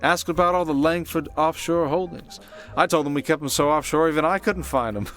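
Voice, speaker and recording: Man acting a slick, higher-voiced charming conman. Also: brisk, a bit bright, soft background music, close to an everyday voice. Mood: slick, teasing. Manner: slick, suave, old-fashioned wiseguy endearments. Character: smooth, cocky male Vegas conman, charming and flirtatious. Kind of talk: ASMR roleplay acting, intimate monologue.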